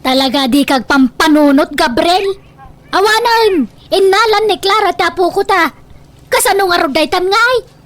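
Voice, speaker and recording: High-pitched, meddling old grandmother, acted by a woman. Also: lively intonation, normal speed, fairly bright tone, faint music behind. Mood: indignant. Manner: exclaiming, scolding. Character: meddling, indulgent old grandmother, excitable and blunt. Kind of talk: comedy radio drama acting.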